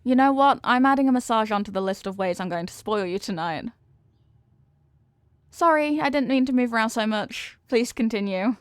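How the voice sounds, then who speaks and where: A woman's voice: on the high side, animated, moderate pace, dry recording, natural voice. A woman playing a sweet, devoted headless horsewoman girlfriend in an intimate ASMR roleplay, affectionate, playful and gushing. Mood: excited, touched.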